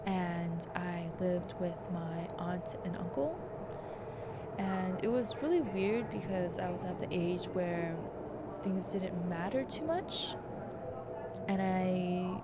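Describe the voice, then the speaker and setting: Young woman, slightly low voice, calm reflective student narrator, noisy street recording. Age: young adult. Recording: loud traffic noise behind the voice.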